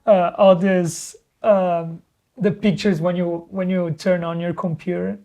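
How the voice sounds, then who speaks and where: This host asks questions in a casual interview, pausing and searching for words as they go. The voice sits in a middle pitch range. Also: little pitch movement, warm tone.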